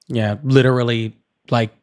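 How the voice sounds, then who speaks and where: A man's voice: mid-range voice, level tone, moderate pace, darkish tone. A man hosting a live call-in political debate in a casual style, reading out viewer messages, sarcastic and dismissive with callers.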